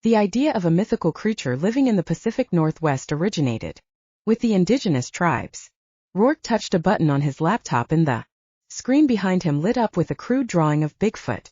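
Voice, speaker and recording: A woman's voice: on the low side, sing-song, fairly quick, warm tone, slightly rough, dry recording, highs cut off. A woman reading a scripted work of fiction as an audiobook narrator.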